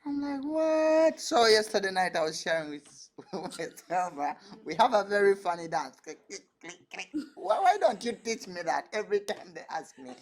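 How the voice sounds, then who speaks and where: A man's voice: high voice, very animated, normal speed. A man from Uganda, a dance artist, telling stories animatedly on a panel and laughing often. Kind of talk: panel discussion.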